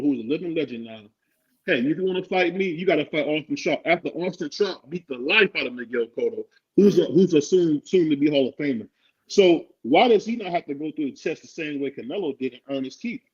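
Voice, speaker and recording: A man talks boxing on a podcast in a husky, slightly high voice, joking and needling his co-host. The recording is dull and lo-fi. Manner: joking, argumentative, laughs often. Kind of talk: casual sports talk. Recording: dull lo-fi sound.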